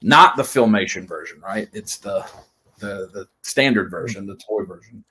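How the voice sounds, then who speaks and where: Male host, 40s, mid-pitched, chatty and enthusiastic, long winding questions. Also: normal speed, sharp tone, low-quality recording. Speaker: live stream host. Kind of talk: casual interview chat.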